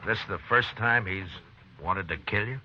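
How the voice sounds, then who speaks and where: Easygoing old west marshal, deep dry voice, muffled noisy old radio western. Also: male voice, bright, forceful tone. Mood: dry, watchful. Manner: unhurried, dry, matter-of-fact. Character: calm, easygoing frontier town marshal, a grown man.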